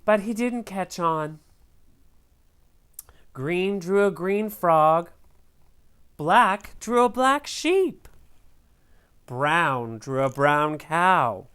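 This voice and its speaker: Woman, children's read-aloud, theatrical delivery, highly varied pitch, leisurely pace. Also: sing-song, drawn-out words, pauses often, fairly bright tone, smooth. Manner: theatrical, addresses young viewers directly. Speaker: storyteller reading a picture book aloud to children.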